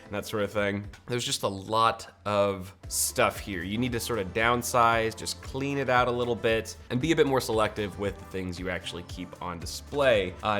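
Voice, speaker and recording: A man's voice: fairly low pitch, a bit bright. An American man in his thirties giving casual, joking, conversational commentary as a tech video host. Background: background music.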